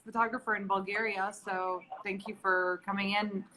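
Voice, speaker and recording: A woman's voice: medium pitch, little pitch movement, normal speed. Woman interviewer, noisy background. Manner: friendly, short prompts with fillers. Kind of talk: casual interview questions.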